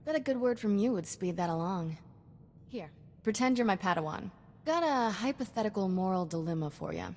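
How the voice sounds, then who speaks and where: An actress playing a sassy, cocky young Jedi sidekick in a video game, with wry, clipped banter. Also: female voice, mid-range voice, animated, a little fast, a little mellow, in a large hall, natural voice. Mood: playful, cocky.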